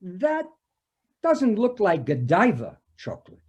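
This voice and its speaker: A man plays a police detective in a comic readers theater sketch. He is formal and pompous, very slow and deliberate, with pitch that wanders widely as he picks out his wordy, hesitant phrases. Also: huge pitch swings, slightly rough, exaggerated voice. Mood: suspicious.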